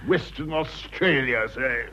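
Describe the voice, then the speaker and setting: An actor playing an irascible, vain aging British politician in a radio drama, blustering, indignant and pompous. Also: male voice, medium pitch, slow pace, sharp tone. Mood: scornful. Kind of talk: radio drama acting of a stage comedy. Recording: dull lo-fi radio drama recording.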